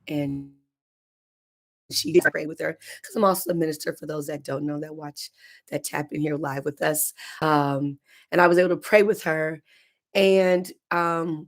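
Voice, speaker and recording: A middle-aged woman with a hoarse voice, hosting a morning motivational livestream as a minister and telling a personal story in an earnest way. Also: sits low. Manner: earnest, storytelling, sometimes emotional. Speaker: minister and show host.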